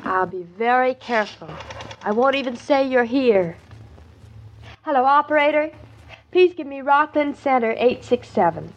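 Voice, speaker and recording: A high, girlish young wife, chattering excitably with a naive, scheming streak. The performance is old-time radio comedy-drama, and the recording is dull and lo-fi. She sounds eager and impulsive. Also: normal speed. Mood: fretful. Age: young adult.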